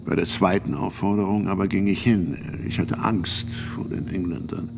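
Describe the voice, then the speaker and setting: Deep, gravelly old priest witness. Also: male voice, steady tone, normal speed, noisy background. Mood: grave, uneasy. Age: older. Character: sixty-year-old male priest-physician, fearful, solemn medieval trial witness. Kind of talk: radio play testimony, measured acting.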